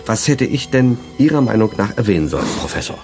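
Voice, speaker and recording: A man's voice: fairly low pitch, very animated, fast talker, a little mellow, faint music behind. An actor playing a professor's dry, grumbling male companion in a radio play.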